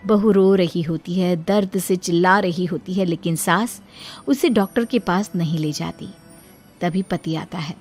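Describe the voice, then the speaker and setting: A woman narrating a cartoon family story in a plain, steady storytelling way. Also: on the low side, unhurried, soft background music.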